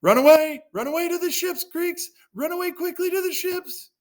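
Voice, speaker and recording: A man acts out a bossy, cowardly king from a storybook epic. He yells in a frantic panic, the voice jumping far up in pitch and volume. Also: moderate pace. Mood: panicked. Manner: frantic, high, yelled orders. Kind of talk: theatrical storytelling of an ancient epic.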